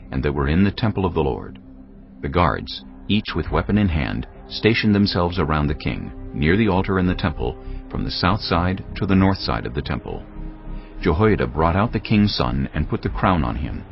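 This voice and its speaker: A man with an extremely deep, gravelly voice reads scripture steadily and in measured tones, with a lively rise and fall in pitch. The sound is a bit dull, with faint music underneath. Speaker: narrator. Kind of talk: scripted Bible narration.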